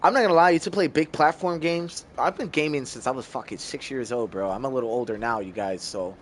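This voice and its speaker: A man's voice: medium pitch, fast talker, slight background noise. A man in his thirties streaming a game, chatting loosely with viewers, calling them bro and swearing freely. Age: 30s. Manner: loose and profane, talks to chat as bro. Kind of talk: casual live-stream chat.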